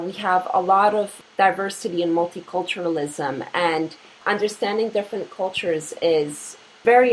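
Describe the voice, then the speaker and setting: Polish-Canadian woman host, lowish voice, earnest and measured, light background noise. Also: rough recording. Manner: earnest, measured, repeats phrases. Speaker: interviewer. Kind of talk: interview.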